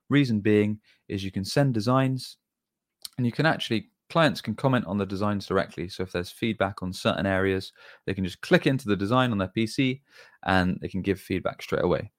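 Low-voiced man explaining step by step. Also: a little fast, no echo, studio quality. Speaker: web design agency presenter. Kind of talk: explanatory tutorial talk.

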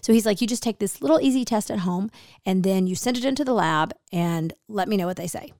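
A middle-aged woman hosting a podcast, a chatty storyteller who acts out the people in her stories, with a slightly gravelly, hoarse voice. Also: medium pitch, quick pace, a little mellow, no room sound, studio quality. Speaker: podcast host. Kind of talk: casual chat.

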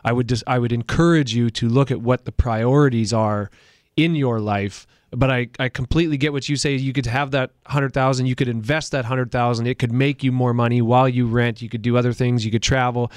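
A man co-hosts a talk show in a calm, even way and gives credit to the other side before making his point. His voice sits at a middling pitch. The sound is close and dry, with no room echo. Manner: measured and agreeable, weighs both sides. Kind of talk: casual talk show chat.

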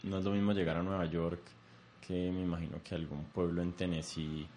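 A man with a very deep voice leads a relaxed interview. He asks long, winding questions and probes gently for more detail. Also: fairly quick, slight background noise. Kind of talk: conversational interview.

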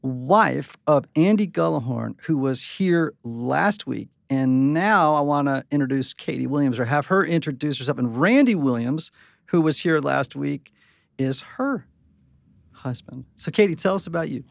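A man's voice: a little high, expressive, unhurried, gravelly, no echo. A man from Connecticut hosting a panel chat in a studio, jokey and enthusiastic, teasing his guests. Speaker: podcast host. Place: studio with mics.

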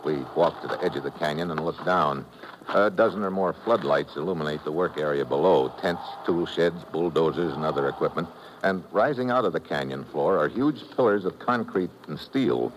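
An actor playing a tough, laconic American secret agent, clipped and deadpan, in a 1950s radio adventure. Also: male voice, very deep voice, normal speed. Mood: terse, tense narration. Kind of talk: 1950s radio drama acting, hardboiled narration. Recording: noisy vintage radio recording.

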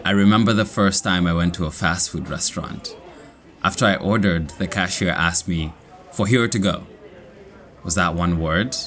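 Kenyan guy, really deep voice, reads his story slow and clear for learners. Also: a bit bright. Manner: slow, clear, measured reading. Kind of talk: scripted personal story for learners.

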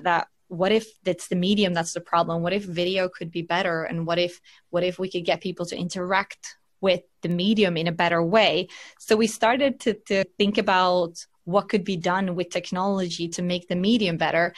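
Relaxed woman from Finland, lowish voice. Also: normal speed, dry recording, clean studio sound. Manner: relaxed, reflective, lots of fillers and restarts. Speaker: venture capital partner, guest. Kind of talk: conversational podcast interview.

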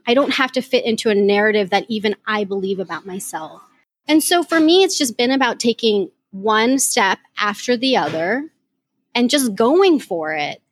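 A woman's voice: fairly high pitch, no room sound, clean studio sound. A woman life coach and podcast host talking candidly and reflectively about her path, answering questions in a live audio chat.

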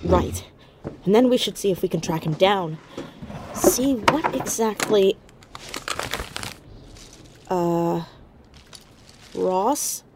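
A curious, determined young woman investigating in an audio drama. She speaks in an urgent, hushed and natural way at a middle pitch, with clear noise behind her. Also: extremely slow, pauses often. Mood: focused, anxious.

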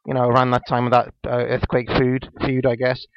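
A man's voice: mid-range voice, monotone, fairly quick, no echo. A Welsh man in his late twenties co-hosting a casual podcast chat, hesitant and full of ums and restarts. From Wales. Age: 20s. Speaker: podcast co-host. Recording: dull lo-fi dry sound.

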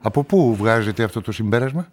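Low-pitched male investigating journalist; measured narration and probing questions for radio crime drama. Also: animated, a little mellow. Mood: probing, polite. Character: inquisitive male journalist investigating a murder, narrating his story. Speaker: narrator and lead actor.

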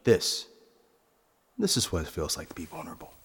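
A man playing a vengeful, cold nobleman in a fantasy audio drama, making deliberate, eloquent threats. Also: deep voice, wildly swooping pitch, fairly bright tone, slight background noise, full character voice. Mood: menacing. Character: vengeful, menacing nobleman posing as castle phantom. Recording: long hall reverb.

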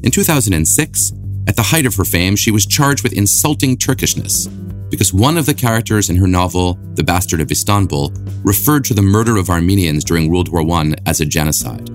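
A man hosting a podcast, reading a scripted introduction and asking interview questions in a measured, academic way. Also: sits low, lively intonation, faint music behind. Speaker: podcast host.

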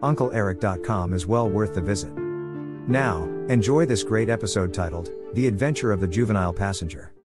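A man's voice: lowish voice, fairly quick. A man reading a scripted introduction as the host of a classic radio podcast. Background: music.

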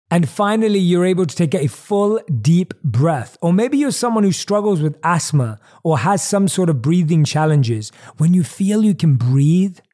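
A man's voice: on the high side, mellow. Enthusiastic man hosting a solo podcast. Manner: enthusiastic, emphatic repetitions. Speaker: podcast host.

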